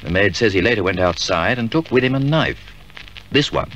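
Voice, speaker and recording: A man's voice: on the low side, expressive, normal speed, a bit bright. A man playing a diligent, deferential police sergeant in a 1950s radio drama, respectful and inquiring. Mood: dutiful. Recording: dull, hissy 1950s radio recording.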